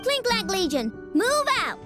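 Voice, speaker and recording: A woman's voice: very high voice, very animated, a little slow, over loud music, heavily acted. A woman voicing a young, stubborn, hot-headed girl inventor in a video game, with a commanding, declamatory manner. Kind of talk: video game cutscene acting.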